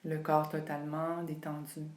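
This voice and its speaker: A woman leading a guided yoga relaxation, speaking calmly and soothingly with long pauses between phrases. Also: low voice. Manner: calm, unhurried, soothing delivery with long pauses. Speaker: yoga nidra instructor. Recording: roomy, echoing sound.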